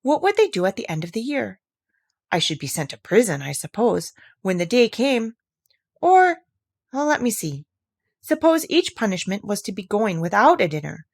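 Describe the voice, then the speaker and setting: Storybook little girl, played by a woman: playful, mock-stern, animated chatter, lifted pitch. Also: normal speed, vocal fry, no echo, studio quality. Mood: musing. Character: playful, chatty, imaginative little girl scolding her kitten. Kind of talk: storybook character voice.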